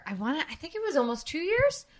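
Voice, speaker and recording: A woman's voice: high-pitched, very animated, fast talker. A young woman from New Jersey hosting a podcast, chatting animatedly and enthusiastically. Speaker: podcast host and comedian. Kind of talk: casual chat.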